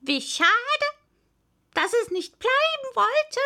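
Woman voicing a high, plaintive storybook parrot. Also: big pitch swings, slow pace, pushed and bright, exaggerated voice. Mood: sighing. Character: indignant, self-important storybook parrot. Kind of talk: storybook character acting.

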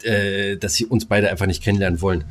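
A man's voice: low voice, fairly even intonation, fast talker. A middle-aged man chatting as a podcast co-host, telling stories in coarse, slangy, exasperated fashion. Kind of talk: casual chat, storytelling.

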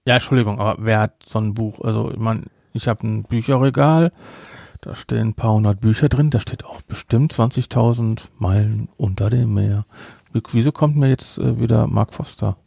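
A man's voice: fairly low pitch, normal speed, gravelly, warm tone, dry recording, studio quality. A man co-hosting a podcast, chatting casually as he reviews an audio drama in a sarcastic, exasperated, nitpicking manner. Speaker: podcast co-host.

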